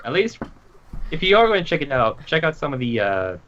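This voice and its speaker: A man's voice: fairly high pitch, very animated, brisk. A man chatting as a podcast guest, making dry offhand remarks. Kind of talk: casual chat. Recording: dull lo-fi audio with faint background hiss.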